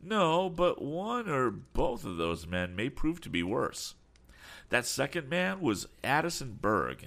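A man's voice: on the low side, creaky, a bit bright, pitched above the performer's own voice, heavily acted. A man voicing a frank, determined young inventor in a storybook, explaining things earnestly. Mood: alarmed. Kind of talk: audiobook character voice.

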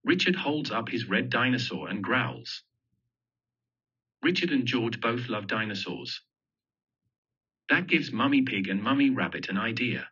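A man's voice: medium pitch, expressive, a little slow, long pauses, pushed and bright, breathy edge. A man reading a children's picture book aloud, telling the story simply and expressively. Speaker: storybook reader. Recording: dull lo-fi audio.

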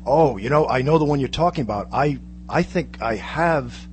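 A man's voice: medium pitch, lively intonation, normal speed, darkish tone. A man chatting casually as a co-host and radio fan in a studio. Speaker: guest co-host, old-time radio fan. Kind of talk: casual chat. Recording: clear hum and background noise.

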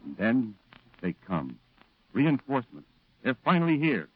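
An actor playing a grave, steady American war storyteller, narrating emphatically in the style of a 1940s radio drama. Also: male voice, mid-range voice, unhurried, husky. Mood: grim, urgent. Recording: muffled old radio broadcast, music underneath.

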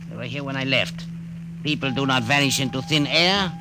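A man's voice: mid-range voice, fairly quick, background noise, lightly acted. A man playing a smug, treacherous scientist, precise and condescending, in an old-time radio drama. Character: smug treacherous male scientist, loyal to the regime. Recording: old radio broadcast with music.

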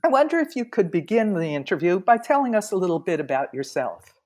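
A woman hosting an interview show, with a somewhat low voice. She reads her introduction in a formal, measured manner. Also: very animated, darkish tone. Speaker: podcast host. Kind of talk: scripted introduction and interview questions.

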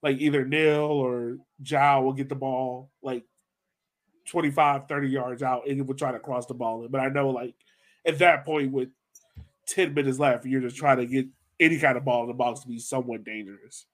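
A man's voice: medium pitch, steady tone, moderate pace. A man talking casually as a soccer podcast host, informal and thinking aloud as he goes. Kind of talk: casual soccer post-match discussion.

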